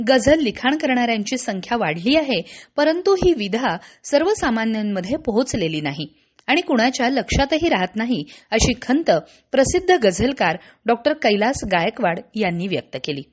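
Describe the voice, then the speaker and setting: A woman reading a scripted regional radio news bulletin in a formal, measured way. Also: fairly high pitch, very animated, dull audio.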